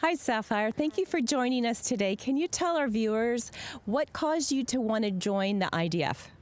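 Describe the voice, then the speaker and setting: A woman's voice: a little high, animated, moderate pace, darkish tone. A woman interviewing a guest in a friendly broadcast style.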